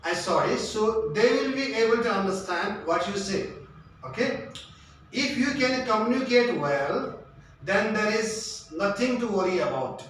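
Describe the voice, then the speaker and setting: A man's voice: sits high, lively intonation, a little slow, a bit bright. A man giving an instructional English lesson as a tutor, in an emphatic, repetitive, question-and-answer manner. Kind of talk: instructional lecture. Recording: echoey room, distant mic, faint noise.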